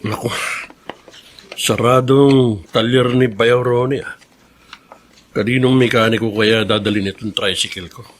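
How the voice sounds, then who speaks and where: Radio drama role: male actor as embittered Filipino son, cutting and emotional, medium pitch. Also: fairly even intonation, measured pace, pauses often, a little hiss or hum, close to an everyday voice. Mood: musing. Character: bitter, resentful adult son who cannot forgive his father.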